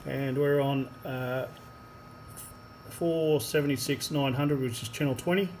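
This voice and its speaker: A man explaining a hands-on radio repair as a technician, unscripted, with a halting delivery and long pauses. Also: medium pitch, steady tone, darkish tone. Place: indoor workbench in a repair workshop. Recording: clear background noise, workshop ambience. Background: workshop room noise.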